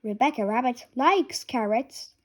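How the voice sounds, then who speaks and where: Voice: mid-range voice, lively intonation, a little slow, fairly bright tone. A person reading a children's storybook aloud, word by word. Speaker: reader of a children's picture book.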